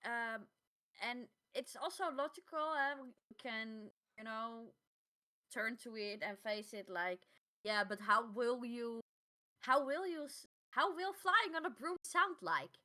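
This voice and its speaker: A woman co-hosting a podcast in casual chat, halting and repeating words as she finds her phrasing. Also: a little high, bright, forceful tone. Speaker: podcast co-host.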